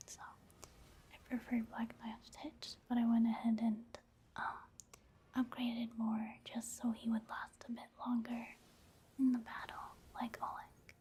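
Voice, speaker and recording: Woman, ASMR game commentary, breathy whisper, mellow, slow and even. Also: a little high. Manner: slow, hushed, unhurried. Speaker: ASMR video creator narrating her gameplay.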